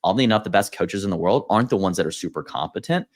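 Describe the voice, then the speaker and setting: A man with a very deep voice hosts a podcast chat. He explains concepts steadily and hands the floor to others. His talk is casual and measured. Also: expressive. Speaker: podcast host.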